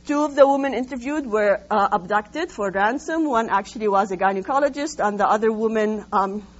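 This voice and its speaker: A woman researcher presenting her findings in an academic talk, explaining in a measured way with frequent hesitant pauses. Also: medium pitch. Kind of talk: academic lecture, semi-scripted. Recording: dull lo-fi sound with faint hum.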